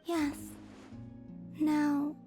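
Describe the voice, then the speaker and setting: A serene, enigmatic moon maiden speaks in a soft, airy, fairly high voice. Her delivery is formal, measured and aloof, as in game dialogue, over faint music. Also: flat delivery. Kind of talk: English video game dialogue acting. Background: faint background music.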